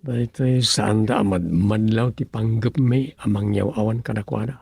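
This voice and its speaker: A man's voice: lowish voice, normal speed, creaky, a little mellow, slightly rough, no room sound, studio quality. A man playing a scheming villager in an Ilocano radio comedy drama, musing and muttering with exclamations. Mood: musing, plotting.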